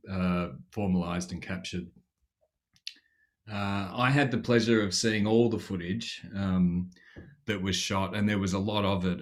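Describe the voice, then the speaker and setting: Low-voiced man presenting a project. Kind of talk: live online presentation.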